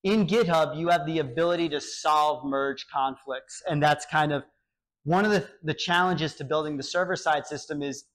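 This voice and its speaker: Guy in his 30s doing a lively tech talk in a cafe, kinda high voice, picked up by a far-off camera. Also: moderate pace. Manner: animated, explains with quoted example dialogue. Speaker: meetup speaker. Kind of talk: technical talk with Q&A. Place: small cafe, indoors.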